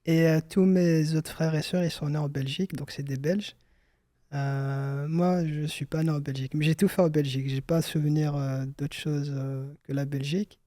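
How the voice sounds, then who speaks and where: A man's voice: on the high side, fairly even intonation, moderate pace, mellow, no room sound. A young man hosting a chat with his mother, asking her short, curious questions. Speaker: host interviewing his mother. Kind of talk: casual chat.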